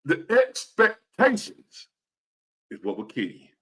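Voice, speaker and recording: A man in his fifties giving earnest, preachy motivational talk to his viewers, repeating key phrases and swinging between loud and hushed. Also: sits high, wildly swooping pitch, slow pace, breathy edge. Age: 50s. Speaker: motivational vlogger.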